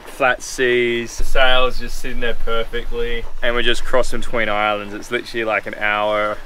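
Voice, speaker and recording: A relaxed young Australian man bantering to an action camera while sailing and hiking. His voice sits at an ordinary middle pitch. Clear wind and water noise runs behind him outdoors. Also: moderate pace. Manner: joking, laid-back banter. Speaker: sailing vlogger. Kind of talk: casual chat to camera. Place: outdoors on an island and aboard a sailboat.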